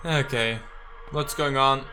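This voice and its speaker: A man giving casual let's play commentary as a gaming video host, haltingly thinking out loud as he goes. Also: mid-range voice, fairly even intonation, music in the background.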